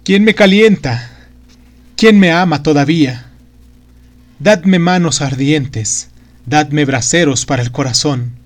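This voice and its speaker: Brief: a man playing a shaking old magician crying out in torment, high-pitched and loud, slow and drawn out. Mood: pleading. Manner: anguished, pleading lament. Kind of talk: dramatic audiobook reading.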